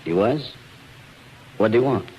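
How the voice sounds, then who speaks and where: An actor playing an earnest, smooth-talking man in love in a 1940s radio drama. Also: medium pitch, expressive, measured pace, darkish tone. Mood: baffled, pleading. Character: earnest, smooth-talking male suitor, cafe schemer in love. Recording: muffled, hissy 1940s radio broadcast.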